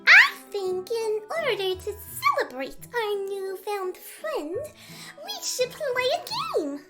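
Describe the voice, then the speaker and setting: A woman voicing a friendly, sassy young draconequus girl who is eager to play, in an animated drama. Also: extremely high pitch, slow, music in the background, full character voice. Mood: excited. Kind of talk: animated audio drama acting.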